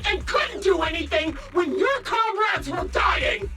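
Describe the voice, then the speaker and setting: A woman's voice: very high-pitched, expressive, a little slow, bright, forceful tone, distant mic, soft background music, full character voice. An actress voicing a cruel, mocking female criminal villain in a video game, taunting theatrically. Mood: scornful accusing.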